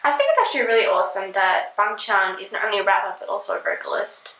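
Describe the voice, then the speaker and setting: A woman hosting a casual reaction video, chatting and giggling, often filling pauses with "like". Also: mid-range voice, fairly bright tone, reverberant, rough recording. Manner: chatty, giggly, filler-heavy.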